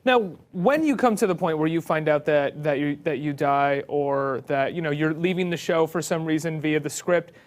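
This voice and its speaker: A man's voice: on the high side, normal speed, hardly any pauses. A man hosting an interview, putting questions in a casual conversational style. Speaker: interviewer, host.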